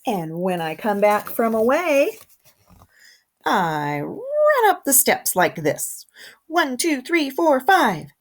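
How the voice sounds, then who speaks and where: A woman kindergarten teacher reading a picture book aloud to young children in an expressive way, with simple asides and questions. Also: on the high side. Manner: animated, simple wording, pauses to comment and question.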